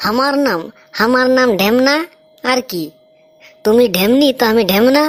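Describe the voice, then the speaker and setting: High-pitched brash cartoon bridegroom played by a woman. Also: normal speed, close to an everyday voice. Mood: mocking. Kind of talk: cartoon comedy dialogue.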